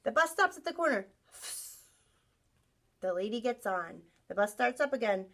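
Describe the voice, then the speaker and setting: A woman teacher reading a picture book aloud to young children in an expressive storytelling style, acting out sound effects. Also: fairly high pitch, normal speed. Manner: animated, acts out sound effects, asks listeners questions.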